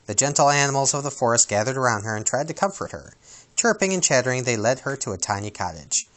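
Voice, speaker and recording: A man reading a children's storybook aloud as storyteller, with a dramatic, expressive delivery. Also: medium pitch, fast, rough recording.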